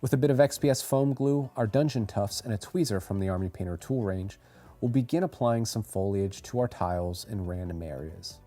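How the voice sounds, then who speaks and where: A man reading a scripted how-to voice-over as a tutorial narrator, giving clear step-by-step instructions. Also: on the low side, a little fast, warm tone, soft background music.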